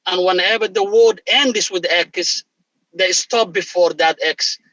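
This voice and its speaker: Male language instructor, high and bright, dry close mic. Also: dry recording, low-quality recording. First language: Somali. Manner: animated, halting non-native phrasing. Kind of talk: explanatory conversation.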